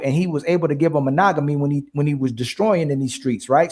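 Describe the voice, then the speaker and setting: A man hosting a casual podcast debate, animated and repeating names to cut in. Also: a little high. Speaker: podcast host.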